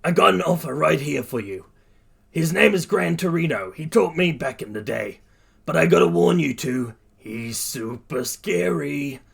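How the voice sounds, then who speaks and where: A man's voice: on the high side, expressive, a little slow, vocal fry, fairly bright tone, slightly rough, voice pushed up, exaggerated voice. A man voicing a hearty, earnest older superhero mentor in a narrated story, speaking emphatically. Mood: concerned.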